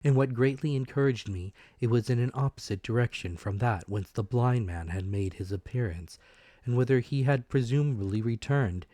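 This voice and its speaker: A man giving a dramatic, scripted audiobook narration of an adventure story, measured and expressive. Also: medium pitch, no room sound.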